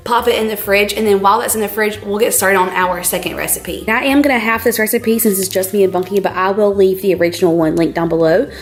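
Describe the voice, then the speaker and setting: Young woman, hoarse from a cold, chatty and upbeat, home-kitchen vlogger, soft music bed. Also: mid-range voice. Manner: chatty, bubbly, peppered with "like". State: scratchy voice from a cold. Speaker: cooking vlogger. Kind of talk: casual cooking tutorial.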